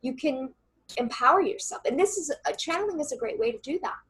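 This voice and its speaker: A woman channeler and coach with a loud voice, earnestly talking a listener through a writing meditation in a casual interview over a video call. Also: sits high, lively intonation, brisk. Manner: earnest and reassuring, many fillers.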